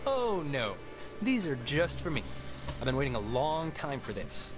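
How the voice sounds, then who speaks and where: A man playing an excitable, mischievous teenage slacker in a video game, with a joking, slangy delivery. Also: on the high side, normal speed. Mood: playful. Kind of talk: naturalistic video game dialogue acting. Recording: music behind the dialogue.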